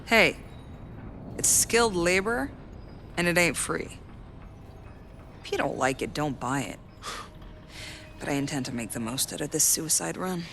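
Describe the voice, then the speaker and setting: Cocky sneering game merc, voice on the high side, foul mouth, bit of background noise. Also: male voice, animated, measured pace, gravelly, long pauses, sharp tone, heavily acted. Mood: wheeling and dealing. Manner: brash, sneering. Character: cocky, foul-mouthed slum-born mercenary man, cynical. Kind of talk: video game cutscene acting.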